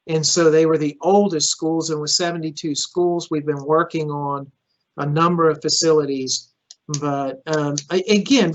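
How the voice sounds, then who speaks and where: A man's voice: a little high, a bit bright. Earnest man, Greek native, dull audio. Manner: earnest, repeats words at starts of phrases. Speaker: county manager. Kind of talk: public forum answering questions.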